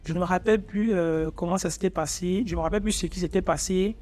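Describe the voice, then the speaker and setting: A young man in his twenties with a fairly high-pitched voice speaks informally to his viewers, as a student vlogger would. He is from Africa and a native speaker of English. Faint music plays behind him. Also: fairly even intonation, quick pace, a little mellow. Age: 20s. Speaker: architecture student presenting his own channel. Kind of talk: personal vlog, talking to viewers.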